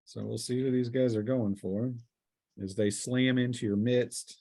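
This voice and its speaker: A man's voice: mid-range voice, fairly even intonation, a little slow, warm tone. Man narrating as a game master. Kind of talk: narrating a tabletop fantasy game, conversational.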